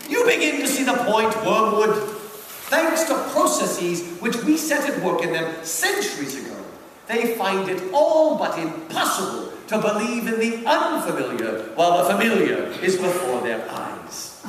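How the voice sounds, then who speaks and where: A man's voice: high voice, sing-song, unhurried, a bit bright, heavily acted. A man playing a scheming senior devil, condescending and emphatic, in a dramatic reading of satirical letters. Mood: gloating, instructive. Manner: theatrical, condescending, emphatic mentoring. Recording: echoey hall, distant mic, faint noise.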